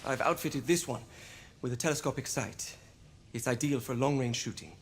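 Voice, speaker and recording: A man portrays a courteous, eccentric inventor in a Victorian game. His mid-pitched voice is precise and deferential with small hesitations, set against clear ambient noise. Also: animated, normal speed, natural voice. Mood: proud, eager. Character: polite, proud eccentric inventor of Victorian gadgets. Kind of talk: video game cutscene acting.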